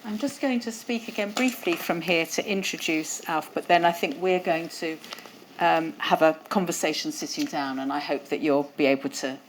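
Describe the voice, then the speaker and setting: A woman's voice: low-pitched, normal speed, a little hiss or hum, poor audio. A woman hosting a museum event and introducing speakers, talking conversationally with some fillers into a lapel mic. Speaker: event host. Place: event hall.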